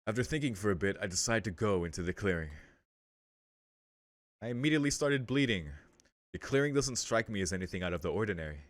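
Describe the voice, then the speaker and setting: A man's voice: lowish voice, expressive, fast, pauses often, no room sound, clean studio sound. A man hosting a let's play, reading visual novel text aloud and breaking in with casual, slangy commentary. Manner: interjects with casual slang and swearing.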